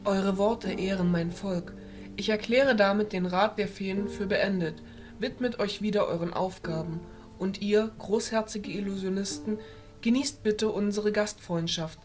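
A woman playing a regal, stern fairy who leads a council, speaking in formal, measured phrases in a fantasy audio drama. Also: medium pitch, fairly even intonation, music in the background, natural voice. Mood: composed, stately. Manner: formal, measured, stately speech.

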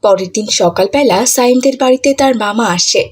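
A woman's voice: fairly high pitch, a little fast, very noisy. Woman narrating a children's story.

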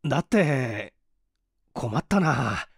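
A man's voice: mid-range voice, big pitch swings, extremely slow, gravelly, slightly rough, no echo, studio quality, pitched below the performer's own voice, full character voice. A man voicing a weary, courteous, flustered young man in a dramatized audiobook, speaking politely and hesitantly. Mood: awkward, polite.

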